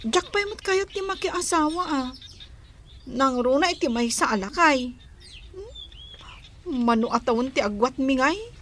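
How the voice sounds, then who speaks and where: High-voiced reluctant young Ilocano bride-to-be. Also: female voice, big pitch swings, measured pace, long pauses, fairly bright tone, full character voice. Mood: protesting. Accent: Filipino, Ilocano. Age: young adult. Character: reluctant young bride-to-be, daughter resisting arranged marriage. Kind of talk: radio drama acting. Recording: clear background noise.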